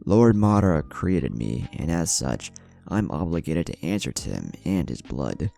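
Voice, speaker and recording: A man voicing a conflicted, obedient plant-like creature in a story, speaking in a formal, measured manner. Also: extremely low pitch, very animated, gravelly, mellow, faint music behind, full character voice. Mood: conflicted, pleading.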